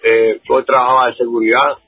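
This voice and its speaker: Husky-voiced man on a dull line. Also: medium pitch, flat delivery, brisk, no room sound. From Dominican Republic, raised in Puerto Rico. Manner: earnest, often repeats words while searching for them. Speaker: interviewee, bar owner. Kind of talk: remote interview.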